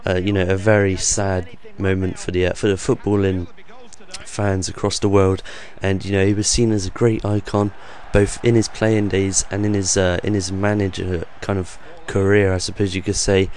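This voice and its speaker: A young man with a croaky voice hosting his gaming channel, rambling off the cuff with lots of ums and uhs over game footage. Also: sits low, little pitch movement, normal speed, a little mellow.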